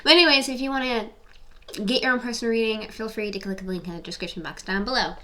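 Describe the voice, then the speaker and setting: A woman's voice: on the high side, fast, bright, forceful tone. A woman in her twenties doing a casual, rambling tarot reading to camera, often repeating her questions. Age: 20s. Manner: chatty, rambling, repeats questions while shuffling. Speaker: tarot reader. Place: home, seated in front of camera.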